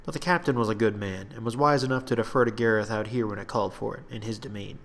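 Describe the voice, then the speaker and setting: A man reading his own fantasy story aloud in an expressive, dramatic narration. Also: on the low side, fast talker. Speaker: author reading own book.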